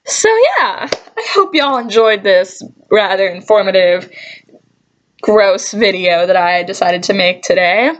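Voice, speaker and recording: A woman's voice: mid-range voice, lively intonation, a little slow. A woman tells stories in a casual, comedic vlog style, taking her time between phrases. She jokes and mock-scolds with dry asides in an informal way. The recording sounds a little dull and lo-fi. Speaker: vlogger telling work stories. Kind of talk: casual comedic storytelling to camera.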